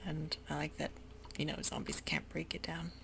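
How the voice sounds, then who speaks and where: A close-miked whispering voice, mostly breath with a gravelly, fry-laden edge where it turns voiced. The delivery wanders gently with many pauses and fillers, like a relaxed ASMR game tour. A steady hiss and the click of a computer keyboard sit behind it. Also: medium pitch. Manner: soft mostly unvoiced whisper; hesitant, meandering, with many fillers. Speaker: gameplay ASMR host.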